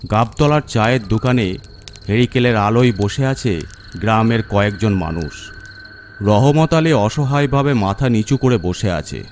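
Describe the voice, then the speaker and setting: A man narrating a scripted horror story in a suspenseful, deliberate manner. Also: fairly low pitch, animated, slight background noise.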